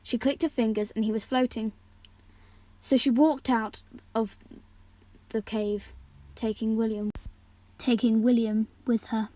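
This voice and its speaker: A woman's voice: fairly high pitch, steady tone, unhurried, pauses often, mellow. A young woman reading aloud and chatting casually in an informal way, sometimes stumbling and correcting herself. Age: teenager or young adult, still at school. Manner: informal, stumbles and self-corrects over words. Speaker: amateur audiobook reader, channel host.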